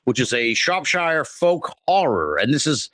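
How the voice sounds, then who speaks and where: A man hosting a casual podcast interview, speaking haltingly with repeated words and an enthusiastic manner. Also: mid-range voice, big pitch swings, fairly bright tone, no room sound, studio quality.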